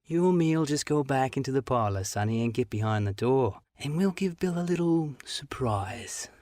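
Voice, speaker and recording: A wheedling old sea rogue, put on by a male reader, fawning one moment and sneering the next. His pitch sits in an ordinary middle range and climbs when he coaxes. The read is close and dry, with no room around it. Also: brisk, a little mellow, dry recording, natural voice. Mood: sly. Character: sly fawning pirate man, old sailor, menacing. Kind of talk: audiobook character voice.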